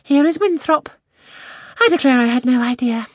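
Querulous young wife for period fiction female reader acting: very high, swooping, complaining and self-absorbed. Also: normal speed, no echo, clean studio sound. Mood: alarmed, fretful. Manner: exclamatory, querulous. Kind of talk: classic novel audiobook character voice.

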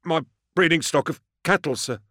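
A male narrator acts out a cringing farmer standing trial in an audiobook story. The voice is pitched up high, nervous and pleading, with an anxious, faltering deference. The sound is close and dry. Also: normal speed, a bit bright, no room sound. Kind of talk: audiobook character acting.

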